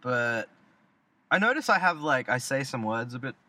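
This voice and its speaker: A man's voice: medium pitch, sing-song, normal speed, pushed and bright, no echo. A man in his thirties with an Australian accent, co-hosting a casual podcast, rambling and joking with restarts. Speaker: podcast co-host. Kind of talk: casual chat.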